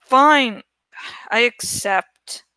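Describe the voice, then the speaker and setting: A woman's voice: fairly high pitch, very slow, pushed and bright, smooth, dry recording, pitched below the performer's own voice, exaggerated voice. A woman voicing an earnest, stubborn young male villager in a storybook reading, speaking insistently. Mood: snappish. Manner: insistent, protesting.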